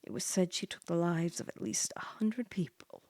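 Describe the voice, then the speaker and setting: A woman voicing an ominous game character recounting a dark legend in a hushed, dramatic way. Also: on the low side, moderate pace, no echo, voice pushed down, lightly acted.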